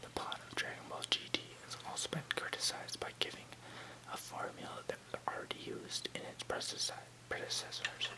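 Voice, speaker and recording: A person whispering in a breathy, unvoiced voice, softly reading aloud in unhurried phrases as an ASMR host. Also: low-quality recording.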